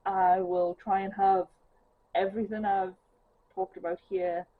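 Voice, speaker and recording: A woman's voice: mid-range voice, flat delivery, a little slow. Chatty Geordie woman, tinny sound. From northeast England. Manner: chatty, rambling with many ums. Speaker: craft vlogger. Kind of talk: casual chat to camera. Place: home living room, sitting on a sofa. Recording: tinny phone-like sound with faint hiss.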